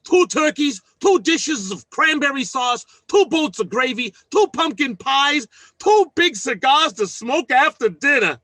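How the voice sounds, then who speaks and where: Folksy working-class radio sitcom dad, bright forceful high voice, sentimental, blustery, bad grammar. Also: male voice, lively intonation, measured pace, no room sound, exaggerated voice. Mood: excited. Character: good-hearted, blustery working-class family man and father. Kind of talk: old-time radio comedy acting.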